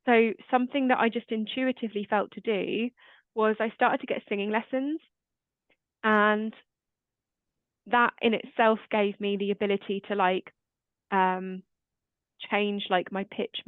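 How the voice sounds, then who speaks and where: Woman, quiet calming voice, casual chat, thin phone-y sound, no room echo. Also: mid-range voice, measured pace, clean tone. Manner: quiet, calm voice. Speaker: host. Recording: dry, tinny phone-like line.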